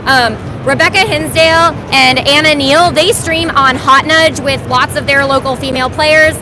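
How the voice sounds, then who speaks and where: A woman's voice: high voice, fairly even intonation, normal speed, noisy background. A woman from Texas, a pinball streamer and podcaster, chatting in a friendly, enthusiastic way with plenty of fillers at a convention booth. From Austin, Texas, USA. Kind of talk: casual chat.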